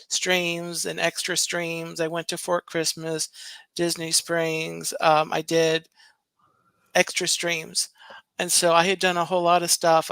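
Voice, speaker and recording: A soft-spoken man chatting casually on a live stream, unhurried and rambling, with plenty of fillers. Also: a little high, creaky, smooth, no room sound. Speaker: co-host, stream guest.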